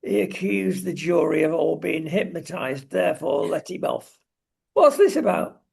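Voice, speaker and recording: A woman's voice: on the low side, expressive, normal speed, gravelly, darkish tone, husky. An older woman with a British accent hosting a casual opinion chat in a wry, exclamatory way.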